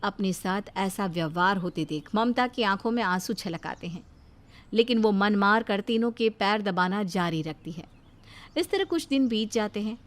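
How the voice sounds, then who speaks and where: A woman narrating a moral cartoon story in a steady, dramatic way. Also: mid-range voice, moderate pace.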